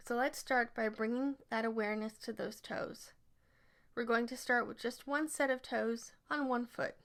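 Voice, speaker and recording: Higher-voiced woman guiding meditation, creaky edge. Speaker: guided meditation instructor. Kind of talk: scripted guided relaxation narration.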